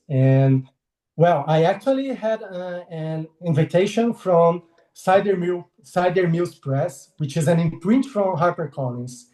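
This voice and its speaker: A man's voice: on the high side, mellow, echoey room. A man in his forties from Brazil with a Brazilian Portuguese accent, speaking as a guest illustrator on a video call, friendly and hesitant, laughing often. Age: 40s. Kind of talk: casual interview over video call.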